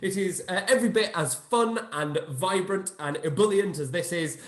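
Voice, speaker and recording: A man with a high voice hosts a live online Q&A over a video call. He sounds upbeat, laughs often and leans on fillers. His call audio is roomy. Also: moderate pace, a bit bright. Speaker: host.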